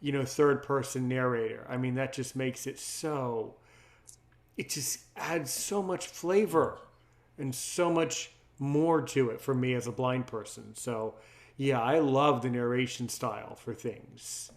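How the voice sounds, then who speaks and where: A man's voice: medium pitch, measured pace. A man hosting a casual interview, effusive and wordy, circling around his questions. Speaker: host, interviewer.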